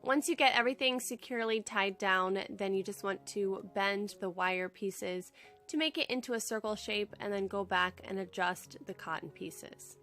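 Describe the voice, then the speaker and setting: A woman's voice: mid-range voice, moderate pace, fairly bright tone, faint music behind. A young woman with a head cold, chatting in a friendly, upbeat way as she narrates a casual home decor how-to vlog from home.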